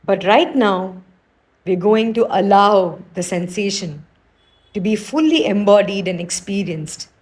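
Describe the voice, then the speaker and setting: A woman teacher leading a guided meditation, speaking in a soothing, unhurried, gently instructing way. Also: mid-range voice, animated.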